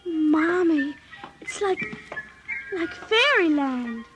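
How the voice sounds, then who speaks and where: A woman playing an eager, wide-eyed little girl in a 1950s radio drama, excitable and pleading. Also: high voice, very slow, over music, full character voice. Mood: delighted wonder.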